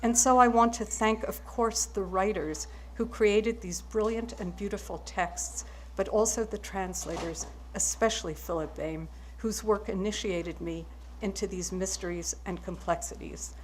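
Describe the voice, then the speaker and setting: A German-born woman with German as her first language, giving a measured, wry acceptance speech. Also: medium pitch, slight background noise, low-quality recording.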